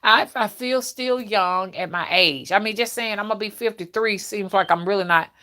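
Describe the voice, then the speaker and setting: A woman's voice: medium pitch, normal speed, bright, forceful tone. A woman, chatting casually as a livestream host and talking straight to her viewers, often checking in with them. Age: early fifties. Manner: conversational, addresses viewers directly, often checks in with "okay" and "you know". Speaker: livestream host and content creator.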